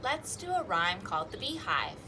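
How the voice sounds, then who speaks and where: A woman hosting a children's storytime, reading rhymes and picture books aloud in an animated, sing-song, exaggerated way. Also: mid-range voice, normal speed, soft background music. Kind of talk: interactive children's read-aloud with rhymes and fingerplay.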